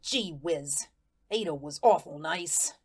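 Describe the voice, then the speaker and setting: A woman's voice: low-pitched, slow, breathy edge, exaggerated voice. Woman playing a naive, slangy good-natured ghost fellow. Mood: chatty, guileless. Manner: folksy, colloquial, guileless storytelling. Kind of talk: first-person radio-play narration.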